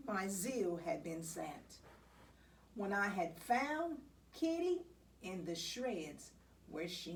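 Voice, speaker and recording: A woman reading a children's picture book aloud, with dramatic, expressive delivery and deliberate pauses. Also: medium pitch. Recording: distant mic, faint background noise.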